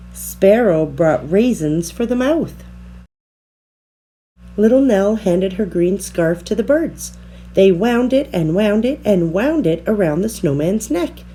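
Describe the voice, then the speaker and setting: Slow, expressive woman reading a storybook. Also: medium pitch, a little hiss or hum, poor audio. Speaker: storybook reader. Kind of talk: children's read-aloud narration.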